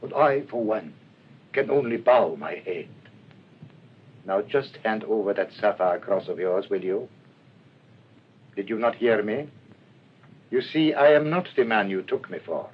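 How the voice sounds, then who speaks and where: A man playing a condescending master thief who poses as a priest in a radio drama, speaking in a measured way. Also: lowish voice, close to an everyday voice. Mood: feigned piety. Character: smug, mocking master thief posing as priest. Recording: muffled recording with faint noise.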